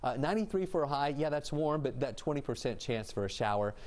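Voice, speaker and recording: A man delivering a live TV weather forecast in a brisk, conversational way. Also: mid-range voice, lively intonation, a little mellow. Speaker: TV meteorologist.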